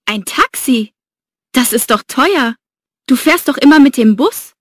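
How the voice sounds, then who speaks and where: A curious coworker who asks questions in a surprised tone. Her voice sits high. The sound is close and dry, from a studio. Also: animated, a little slow, no echo.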